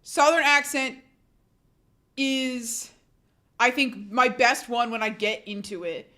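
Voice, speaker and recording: A woman's voice: on the high side, slow, pushed and bright. A woman livestreamer chatting casually with her audience, chatty and self-deprecating, often laughing and reading chat aloud.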